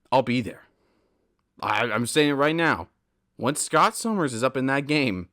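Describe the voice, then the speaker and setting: A young man hosts a solo podcast in a slightly low voice. His delivery is casual and candid. He rambles from thought to thought with a self-deprecating streak. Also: fairly bright tone. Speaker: podcast host.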